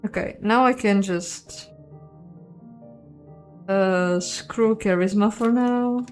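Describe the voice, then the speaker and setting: A woman's voice: mid-range voice, takes it slowly, faint music behind. A woman giving casual, playful let's play commentary, chatting and thinking aloud as she goes. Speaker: host of a game playthrough.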